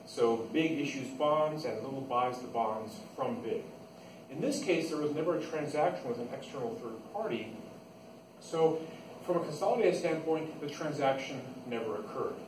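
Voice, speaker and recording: A man's voice: mid-range voice, animated, moderate pace. A man giving an informal classroom lecture as an instructor, explaining step by step and restating key points. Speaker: accounting instructor. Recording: echoey, noisy room, distant microphone.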